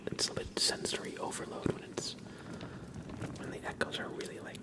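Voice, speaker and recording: A man hosting a relaxed ASMR livestream, chatting casually and softly up close to a sensitive microphone. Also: moderate pace. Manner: relaxed, soft-spoken.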